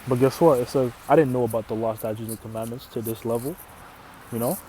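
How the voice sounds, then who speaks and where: Casual man teaching scripture, mellow voice. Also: medium pitch, steady tone, fast, a little hiss or hum, poor audio. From Canada. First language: Arabic. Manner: casual, repeats "right?" often, restarts phrases. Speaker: religious teacher.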